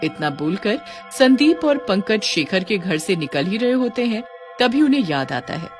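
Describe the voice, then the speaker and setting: A woman's voice: mid-range voice, sing-song, normal speed, faint music behind. A woman reading scripted narration for a cartoon story.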